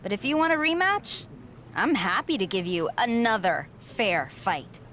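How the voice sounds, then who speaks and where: A woman's voice: mid-range voice, big pitch swings, measured pace, a bit bright, a little hiss or hum. A woman voicing a confident young female wrestler in game cutscenes, talking in a cool, sarcastic, self-assured way. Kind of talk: video game cutscene dialogue.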